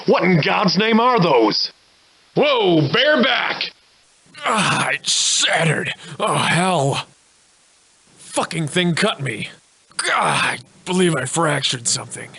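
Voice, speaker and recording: An actor playing a weary, wry Victorian gentleman adventurer in a video game, with florid, old-fashioned, formal diction. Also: mid-range voice. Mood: alarmed, calling out, then pained, irritated. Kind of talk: theatrical video game voice acting.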